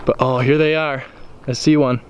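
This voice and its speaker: An American man gives casual, curious commentary while exploring on foot. His voice sits at an average pitch. It is caught on a handheld camera outdoors, with clear background noise. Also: steady tone, normal speed. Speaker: host of an exploring vlog. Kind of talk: casual walking commentary to viewers. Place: outdoors in an open overgrown field. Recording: noisy outdoor camera audio.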